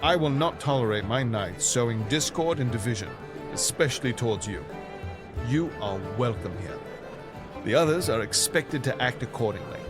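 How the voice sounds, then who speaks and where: Male actor as hearty king, courtly, declamatory, lively intonation, music underneath. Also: mid-range voice, moderate pace, natural voice. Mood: earnest, firm. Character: hearty, gracious medieval king, warm to a young knight. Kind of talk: audio drama acting.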